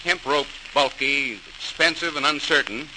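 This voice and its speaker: A man's voice: a little high, measured pace, natural voice. Guy doing intense idealistic engineer, old radio show, noisy sound. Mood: earnest. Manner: earnest, intense. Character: earnest, determined young male immigrant engineer. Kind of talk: 1940s radio acting.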